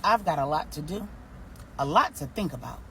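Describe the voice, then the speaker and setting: A woman voicing a proud, independent older matriarch in a story, blunt, folksy and measured. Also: deep voice, very animated, slight background noise, pitched below the performer's own voice, rough recording. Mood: resolute.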